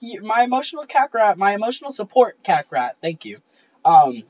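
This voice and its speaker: A woman's voice: medium pitch, sing-song, brisk, darkish tone, slight background noise. A young American woman chatting casually as a podcast guest, joking in a theatrical, mock-serious way. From Michigan, United States. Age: young adult. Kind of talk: casual comedic chat. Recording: muffled lo-fi recording.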